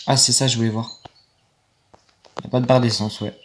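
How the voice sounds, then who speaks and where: A man's voice: mid-range voice, flat delivery, a little fast, slight background noise. A young man, rambling casually to viewers while playing a game on his phone. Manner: rambling, easily annoyed, talks to viewers. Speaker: gaming video creator. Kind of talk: casual gameplay commentary.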